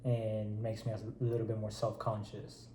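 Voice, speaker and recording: A man's voice: fairly low pitch, completely flat delivery, normal speed, mellow, a little hiss or hum. A man in his twenties with a Spanish accent, a native Spanish speaker, answering politely and briefly as a client in a role-played counseling session. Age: 20s. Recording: roomy echo.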